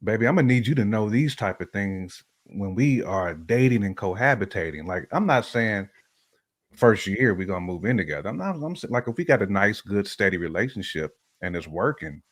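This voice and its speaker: A man with a low voice talks as a co-host in a casual, opinionated style. The sound is dry and close. He uses colloquial phrasing and repeats himself to drive a point home. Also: expressive, moderate pace, no room sound. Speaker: talk show co-host.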